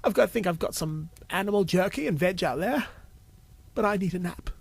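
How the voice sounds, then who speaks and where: A man's voice: high-pitched, animated, a little fast, vocal fry, slightly rough, dry recording, voice pushed up, full character voice. A man voicing an eccentric, mischievous old man in an audio story, theatrical and full of teasing exclamations. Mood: breezy, friendly. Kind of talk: audio story character acting.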